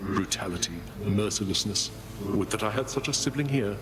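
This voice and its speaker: An actor voicing a cold, bloodthirsty god of war who speaks slowly and menacingly, relishing brutality, in game dialogue. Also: male voice, fairly low pitch, very noisy, lightly acted. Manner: slow, menacing, relishing violence. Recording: game dialogue over loud music.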